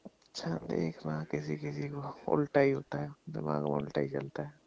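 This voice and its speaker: A man's voice: medium pitch, very animated, moderate pace, gravelly, darkish tone. A young man in a casual private phone chat, talking in a teasing way with short questions. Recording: dull lo-fi phone call recording.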